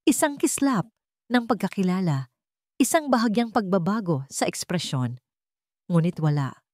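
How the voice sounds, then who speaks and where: A woman narrating a scripted dramatic audio story with measured phrasing. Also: mid-range voice, expressive, a little mellow, no echo, studio quality.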